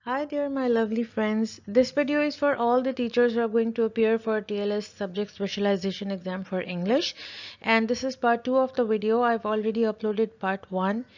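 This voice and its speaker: A woman's voice: on the high side, steady tone, a little fast, a little mellow. A woman who speaks Urdu natively gives an explanatory tutorial as a teacher, repeating her points with simple examples. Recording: dull, lo-fi audio.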